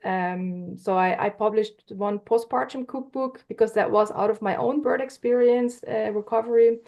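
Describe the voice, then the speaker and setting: A woman whose first language is Dutch, a podcast guest talking casually about her work, friendly and quick to laugh. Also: fairly high pitch, a little mellow, highs cut off. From Netherlands. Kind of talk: casual interview talk.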